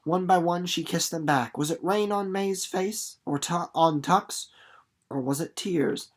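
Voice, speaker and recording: A man reading a story aloud expressively and explaining it casually in an animated, folksy, playful teacher's manner. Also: a little high, unhurried.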